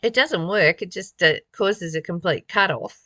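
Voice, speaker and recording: A woman's voice: lowish voice, moderate pace, sharp tone, no echo. A woman reading spiritual text aloud and commenting on it informally in a reflective, musing way, often posing rhetorical questions. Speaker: spiritual study reader and commentator.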